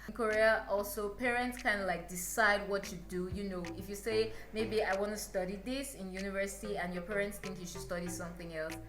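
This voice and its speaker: Brief: young woman, chatty vlogger, echoey room, faint music behind. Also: medium pitch. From Nigeria. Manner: chatty, repeats words for emphasis, lively asides. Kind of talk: casual chat to camera.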